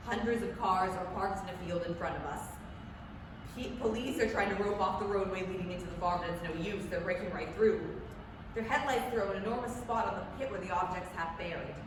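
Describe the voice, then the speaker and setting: An excitable 1930s radio reporter, played by a woman, describes a scene in halting, dramatic bursts. The voice comes from a distance in a very echoey, noisy hall, like a live staged reading. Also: fairly low pitch, close to an everyday voice. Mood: hushed wonder. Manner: breathless, halting, dramatic eyewitness narration. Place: staged reading in a public library. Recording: echoey library hall, far mic, noisy.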